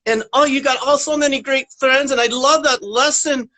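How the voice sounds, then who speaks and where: A baby dinosaur puppet with a high little voice. It is friendly and eager, chattering in childlike, slightly repetitive phrases as it would on a kids' show. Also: fairly even intonation, moderate pace, pushed and bright. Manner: childlike, repeats little words, storytelling.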